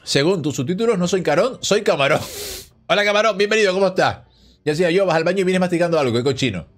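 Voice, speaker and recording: A man's voice: high-pitched, fast talker, soft background music. Chatty man streaming a card game. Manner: relaxed, chatty, reads and answers chat. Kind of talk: casual live-stream chat while playing. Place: small home room, indoors. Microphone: headset.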